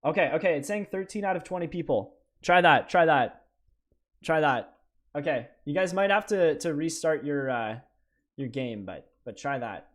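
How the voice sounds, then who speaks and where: A young man from Canada, live-streaming a flight game and chatting with viewers in a stammering, excitable way. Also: a little high, normal speed. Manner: animated, stammering, talks to chat. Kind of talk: live gameplay stream chat.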